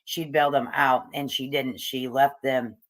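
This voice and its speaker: A woman's voice: extremely low pitch, level tone, measured pace. A woman giving casual, opinionated commentary on video clips, with frequent hesitations and fillers. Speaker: commentary YouTuber.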